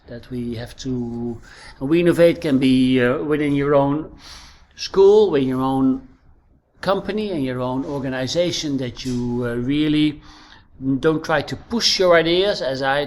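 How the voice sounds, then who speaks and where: A middle-aged man with a Dutch accent explaining things conversationally as an interview guest, emphatically repeating key phrases. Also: mid-range voice. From Netherlands. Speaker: interview guest, innovation trainer and author. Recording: clearly noisy recording with ambience.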